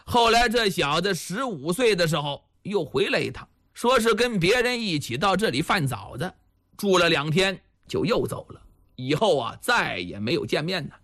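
A man's voice: sits high, sing-song, normal speed, fairly bright tone, voice pushed down, exaggerated voice. A man voicing a bold, crafty village headman with a commanding, theatrical manner in a storytelling performance. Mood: feigned explaining. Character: Chao Gai, bold crafty village headman, feigning an angry uncle.

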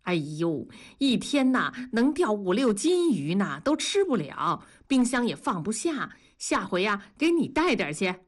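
A woman voicing a bossy, sharp-tongued wife in an audio story, with brisk, mocking, colloquial northern Chinese speech. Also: medium pitch, big pitch swings. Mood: breezy, fibbing.

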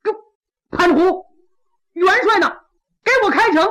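A woman voicing an exhausted, hot-tempered young male general who shouts commands, in traditional storytelling. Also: sing-song, a little slow, husky, full character voice. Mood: urgent. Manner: shouting commands with rising pitch.

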